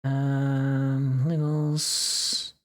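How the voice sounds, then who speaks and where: Female streamer, deep-voiced and leisurely, for playful, casual, teasing live chat. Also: completely flat delivery, extremely slow. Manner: swears casually.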